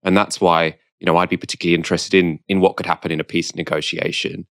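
A man interviewing a guest in a conversational way, putting measured, probing questions. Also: low-pitched, little pitch movement, fairly bright tone, no echo, clean studio sound.

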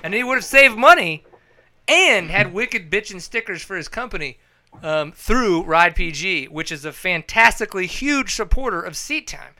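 Bright, loud, joking male podcast host. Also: sits high, lively intonation, normal speed. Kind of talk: casual podcast chat with ad reads.

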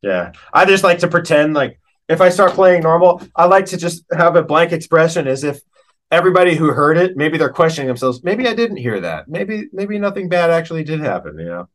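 A man chatting casually as an interview guest, telling stories in a slangy, animated, self-deprecating way. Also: a little high, a little fast. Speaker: interview guest, professional keyboard player and organist.

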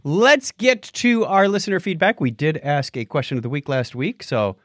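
A man's voice: fairly high pitch, sing-song, normal speed. A middle-aged man co-hosting a podcast in casual, light-hearted chat with a jokey, teasing manner. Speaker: podcast co-host.

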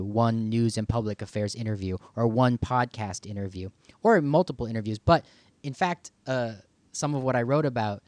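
A man's voice: medium pitch, darkish tone, no echo. A man hosting a podcast in casual conversation, thinking aloud and often doubling back on his words. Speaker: podcast host and audio producer.

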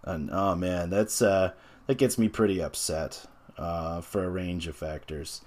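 Low-voiced reflective man. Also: fairly even intonation, darkish tone. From North America. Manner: reflective, hesitant, full of pauses and fillers. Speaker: tabletop game designer. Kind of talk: casual interview talk.

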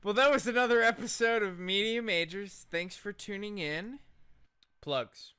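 A man's voice: high-pitched, a little slow, sharp tone, clear, resonant tone, no echo. A man co-hosting a podcast in casual conversation, informal, slangy and opinionated. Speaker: podcast co-host.

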